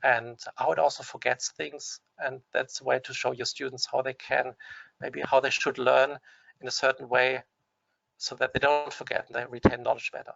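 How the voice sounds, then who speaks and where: German-accented man, gravelly lo-fi webinar. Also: mid-range voice, little pitch movement, normal speed, fairly bright tone. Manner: hesitant, frequent restarts and fillers. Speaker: webinar presenter. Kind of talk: explanatory webinar talk. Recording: dull lo-fi dry webinar audio.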